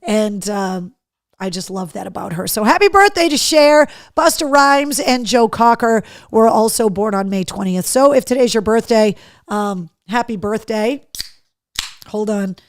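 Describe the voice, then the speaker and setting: A middle-aged woman hosting a live show, chatting casually and candidly with viewers in a slightly hoarse voice, with a cheeky streak. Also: fairly high pitch, expressive, a little slow, dry recording. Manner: candid, cheeky, mildly sweary. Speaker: podcast and livestream host. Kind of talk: casual live chat to viewers, reading out comments.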